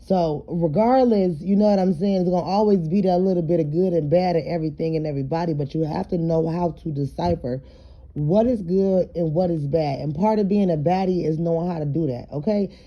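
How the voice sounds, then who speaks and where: A woman with a raspy, slightly hoarse voice, chatting casually to her followers in a home vlog while she does her hair, loose and streetwise with plenty of fillers. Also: lowish voice, a little fast, dull audio. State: hoarse, throat congested. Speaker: vlogger.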